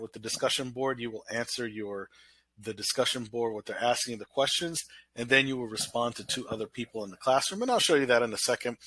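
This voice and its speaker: A college instructor with a bright, nasal voice, recorded close and dry on a computer mic. He rambles casually through a course walkthrough, full of ums and restarts. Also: mid-range voice, dry recording. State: nasal from illness.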